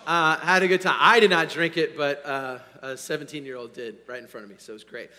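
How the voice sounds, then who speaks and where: Hoarse, energetic pastor in a hall. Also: male voice, on the high side, quick pace. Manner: energetic, swinging from loud to quiet, repeats "all right?". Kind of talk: sermon. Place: church sanctuary. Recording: long hall reverb.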